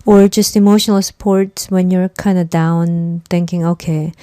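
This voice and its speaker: A woman whose first language is Korean talks through an online course introduction in an easygoing, conversational way, often repeating words for emphasis. Her voice sits low and mellow. The recording is close and dry, with no room sound. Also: fairly even intonation, normal speed, smooth, no room sound. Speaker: instructor. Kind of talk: online lecture.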